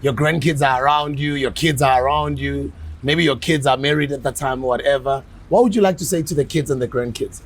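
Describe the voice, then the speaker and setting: A South African man in his forties hosting a casual podcast interview, animated and playful, often breaking into laughter. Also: medium pitch, brisk, hardly any pauses. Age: 40s. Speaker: podcast host.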